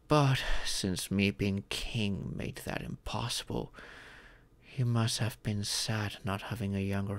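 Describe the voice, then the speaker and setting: A man voicing a gentle, proud dragon father and former king in a comfort roleplay, speaking formally and tenderly. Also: lowish voice, creaky, no echo, full character voice. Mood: tender, soothing. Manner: formal, tender, paternal speech with deliberate pauses. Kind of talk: scripted comfort ASMR roleplay.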